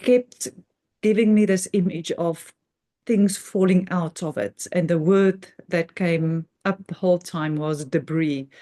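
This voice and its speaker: Woman, meditation host, low pitch, mellow dark timbre, halting short phrases, reverent spiritual talk. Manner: measured, halting delivery in short phrases with pauses. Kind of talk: unscripted spiritual talk introducing a guided meditation.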